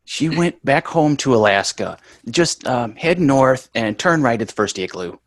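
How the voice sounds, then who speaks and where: An exasperated, sarcastic schoolboy from a sitcom, read by a man in a medium-pitched voice. He bosses people about with dry wisecracks, and the sound is close and dry. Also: expressive, unhurried, no room sound, natural voice. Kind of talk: live sitcom table read.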